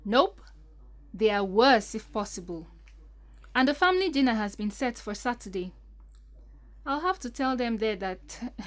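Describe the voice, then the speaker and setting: A woman's voice: medium pitch, lightly acted. A woman playing a troubled, polite young bride-to-be in an audio drama, speaking earnestly and hesitantly.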